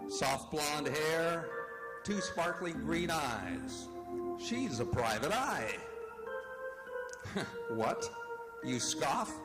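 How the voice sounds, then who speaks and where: Man as a sly, animated mystery-show pitchman. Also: very slow, pauses often, over loud music. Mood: sly, teasing. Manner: sly, teasing, rhetorical. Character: teasing, folksy male hard-boiled detective-show narrator. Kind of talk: 1940s radio drama narration.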